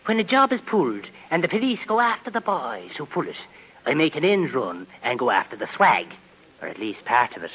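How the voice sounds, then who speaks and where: A man playing a roguish Irish crook in a 1950s radio drama, with an Irish accent, a husky voice and a folksy, sly lilt. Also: on the high side, very animated, a little fast, fairly bright tone, exaggerated voice, rough recording. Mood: sly, blithe. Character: roguish Irish crook who chases stolen loot. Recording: vintage 1950s radio recording.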